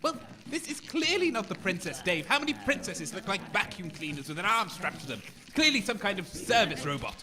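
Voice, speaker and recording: A man's voice: high voice, big pitch swings, brisk, crowd noise. A man playing a nervous, hesitant radio sidekick, stammering his way through a comic audio drama. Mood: sceptical. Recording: echoey hall.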